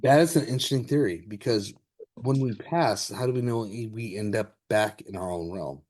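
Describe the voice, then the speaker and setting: A man hosting a casual, exploratory interview, talking haltingly and repeating himself as he searches for words. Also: fairly low pitch, a little mellow. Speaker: podcast host.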